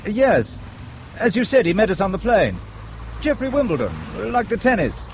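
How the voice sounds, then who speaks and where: An actor playing a polite, preoccupied husband caught up in espionage in an audio drama, courteous and hesitant. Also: male voice, high-pitched, big pitch swings, normal speed. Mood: anxious. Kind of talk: spy radio drama acting. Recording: noisy scene ambience.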